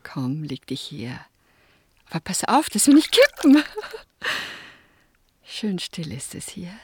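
An actress playing a blunt, impatient small-town secretary and lover in a film drama, colloquial and teasing. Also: female voice, lowish voice, big pitch swings, slow pace, darkish tone, husky, dry recording, studio quality, heavily acted. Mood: teasing impatience. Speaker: actor. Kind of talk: naturalistic film drama dialogue.

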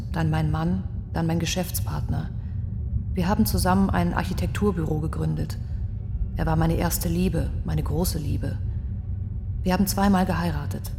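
A young female survivor testifying in a radio drama, grieving and halting. Her voice is low and creaky, with very little melody. She keeps herself restrained. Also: background noise. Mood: tender, wistful. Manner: halting, restrained, hushed in places. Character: grieving young woman architect, survivor of a terror attack. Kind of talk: radio play testimony monologue. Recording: long reverberant hall, music underneath. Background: music.

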